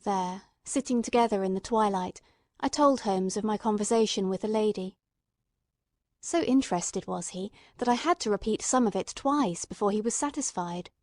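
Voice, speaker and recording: A woman's voice: mid-range voice, normal speed, a little mellow, no echo. A woman reading scripted literary narration as an audiobook narrator.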